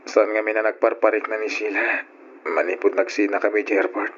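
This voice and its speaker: A man's voice: on the low side, level tone, a little fast, a bit bright, slightly rough. An actor playing an earnest young man in love in an emotional old radio drama. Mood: worried. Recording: dull lo-fi old radio recording.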